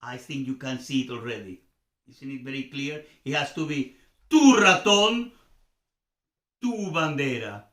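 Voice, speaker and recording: A man's voice: mid-range voice, expressive, unhurried, long pauses, a bit bright, echoey room. A man from Venezuela with a Venezuelan accent and native Spanish gives an informal grammar lesson as a teacher, repeating examples and checking understanding with questions. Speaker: language teacher and host.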